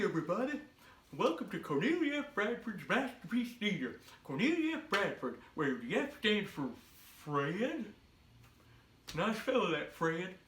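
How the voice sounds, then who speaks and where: A man playing a folksy, goofy country trucker with a husky voice, performing a comic read-aloud for children. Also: high voice, a bit bright, a little hiss or hum. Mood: folksy, joking. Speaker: storybook read-aloud host.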